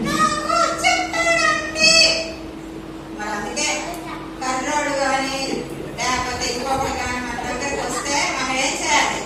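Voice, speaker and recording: A woman presenting an interactive children's puppet show, asking prompting questions and repeating answers to the audience. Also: sits high. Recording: distant, very echoey hall, loud noise.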